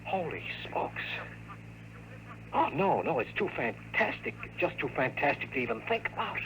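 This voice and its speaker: A tough, wry hardboiled radio hero. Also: male voice, a little high, sing-song, normal speed. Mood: fed up. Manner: slangy, sardonic, clipped. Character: tough, wry, streetwise hardboiled man, impatient. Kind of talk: 1950s radio drama acting. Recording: noisy old radio drama recording.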